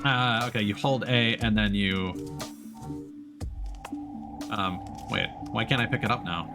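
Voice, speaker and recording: A man's voice: lowish voice, a little slow. A man giving casual let's play commentary as a streamer, rambling playfully and thinking aloud. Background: game music.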